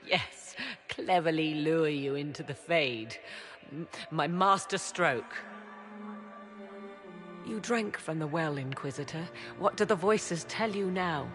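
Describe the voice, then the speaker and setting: A slow, proud, theatrical witch. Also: lowish voice, animated, soft background music. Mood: bitter, sardonic. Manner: archaic, formal, dramatic, sardonic. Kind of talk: video game voice acting.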